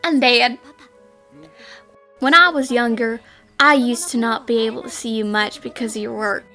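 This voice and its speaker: A woman playing an earnest, devoted young adult daughter, speaking sincerely and gently in an animated drama fan dub. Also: a little high, moderate pace, faint music behind. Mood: tender, grateful.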